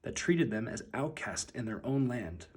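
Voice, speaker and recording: A man reading a children's picture book aloud as narrator, with deliberate, emphatic phrasing. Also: medium pitch, lively intonation, a little mellow, husky.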